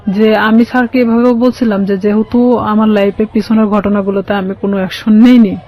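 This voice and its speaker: A woman with a Bangladeshi accent recounting her own experience as an interview guest, informal and halting as she explains. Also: medium pitch, level tone, lo-fi sound.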